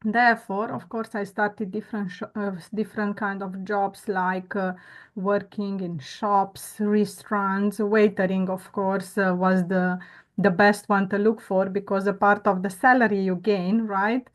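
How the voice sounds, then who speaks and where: A woman, a guest telling her story earnestly and reflectively, pausing often on "uh" and "um". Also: mid-range voice, level tone. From Romania. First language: Romanian. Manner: earnest, reflective, with frequent "uh" and "um" pauses. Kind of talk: conversational interview storytelling.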